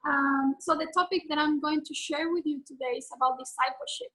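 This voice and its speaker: A woman in her forties from Argentina, a native Spanish speaker with an Argentine accent, giving an earnest, halting teaching over a video call. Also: sits high, pushed and bright, poor audio. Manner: earnest, halting, with many fillers and restarts.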